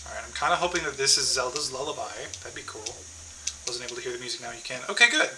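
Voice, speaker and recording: A man's voice: mid-range voice, animated, rapid-fire, background noise. A man streaming a game, chatting casually to viewers and thinking aloud with many fillers and restarts.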